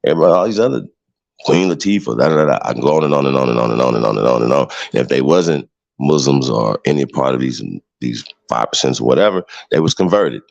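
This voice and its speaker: Deep gravelly man, preachy and emphatic. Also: lively intonation, fast, a little mellow, dull audio. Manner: emphatic, preachy, repeats himself with many "right?" tags. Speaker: show host. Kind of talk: heated livestream monologue.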